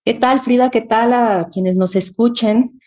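A woman co-hosting a radio program, reading information in a steady way. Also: a little high, unhurried. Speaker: radio co-host. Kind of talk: scripted radio narration. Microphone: studio microphone.

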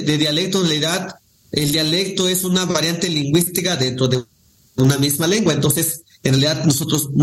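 A man, a Nahuatl speaker from Guerrero, reading poems and explaining language in an unhurried way, with many pauses and fillers. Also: on the high side, poor audio. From Guerrero, Mexico. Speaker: guest poet. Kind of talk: poetry reading and casual conversation.

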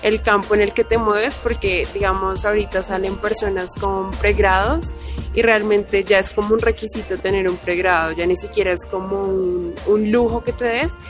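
Young Colombian woman, mid-pitched. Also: fairly even intonation, normal speed, music in the background. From Bucaramanga, Colombia. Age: young adult. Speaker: university student interviewee. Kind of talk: spontaneous interview answer.

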